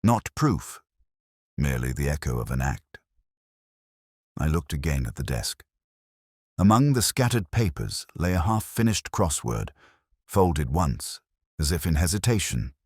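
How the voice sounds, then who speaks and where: A man reading a scripted first-person detective story in a measured, reflective, literary manner. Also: extremely low pitch, very animated, darkish tone, breathy edge, no echo, clean studio sound. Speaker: narrator.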